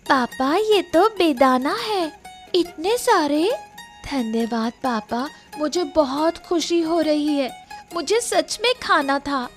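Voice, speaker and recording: A sweet little village girl voiced by a woman from a cartoon moral tale. Her voice is high and childlike, unhurried and grateful, over gentle children's music. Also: expressive. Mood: delighted, grateful. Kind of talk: Hindi cartoon moral-story acting.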